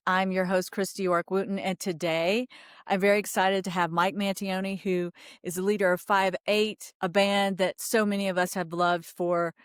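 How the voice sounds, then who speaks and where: A woman's voice: lowish voice, no room sound. A middle-aged woman hosting a podcast in a conversational interview style. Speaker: podcast host.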